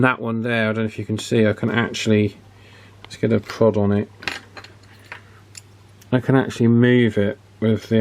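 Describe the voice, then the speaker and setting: A man's voice: medium pitch, darkish tone. A man working at a bench, talking casually through a radio repair as he thinks aloud, with fillers and restarts. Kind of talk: casual workbench tutorial.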